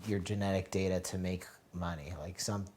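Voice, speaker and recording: A man's voice: low voice, steady tone, normal speed, a little mellow, slight background noise. A man in his late twenties in casual group chat, talking earnestly and getting fired up, with many restarts. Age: late 20s. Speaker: reality show contestant.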